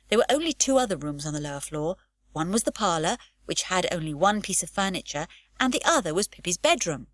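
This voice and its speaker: A woman reading an unabridged children's audiobook aloud with an expressive storytelling lilt, recorded in a studio. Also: lowish voice, no echo. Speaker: audiobook narrator.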